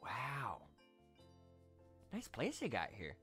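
Guy doing nerdy polite young pony squire, super animated pitch, cartoon style, soft music behind. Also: takes it slowly, full character voice. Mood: earnest. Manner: earnest, eager, polite. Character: eager, awkward young male unicorn squire. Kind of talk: fan cartoon voice acting.

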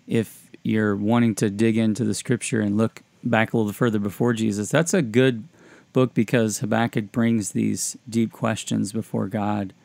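A man's voice: on the low side, vocal fry, a little mellow, no room sound. A man hosting a podcast discussion about faith in a reflective, conversational style. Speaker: podcast host.

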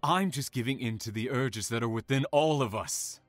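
A man's voice: mid-range voice, normal speed, no room sound, soft background music, lightly acted. A man playing a sadistic, gloating serial killer in an audio drama, taunting his captive theatrically and slipping into menacing whispers.

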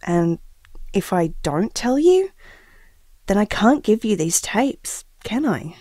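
Audio drama diarist: earnest mother-to-be, mid-pitched, reflective, hesitant, very dry close sound. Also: very animated, takes it slowly, dark tone, dry recording. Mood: doubtful, hesitant. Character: earnest expectant mother confiding secret powers. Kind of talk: audio drama, confiding taped diary monologue.

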